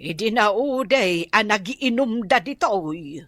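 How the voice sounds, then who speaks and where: Grumbling canteen lady, mid pitch, ilocano accent, dry close radio drama sound. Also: animated, slow pace, a bit bright, no room sound. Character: grumbling woman canteen keeper, blunt.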